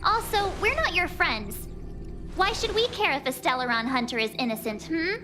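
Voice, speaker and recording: An actress voicing a brash, perky and skeptical young girl crew member in a video game, animated and sarcastic. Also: very high voice, normal speed. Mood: skeptical, indignant. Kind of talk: English video game voice acting. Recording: music under the voice.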